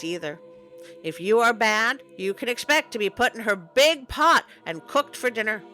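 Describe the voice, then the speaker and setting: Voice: medium pitch, pushed and bright. A storytelling host reading scripted folklore narration in a theatrical, playfully ominous manner. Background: music.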